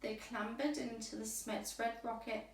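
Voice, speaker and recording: Unhurried woman reading a bedtime story. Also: fairly high pitch, slight background noise. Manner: deliberate, expressive, measured phrasing. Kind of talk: rhyming bedtime story read aloud for children. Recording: roomy echo, distant microphone.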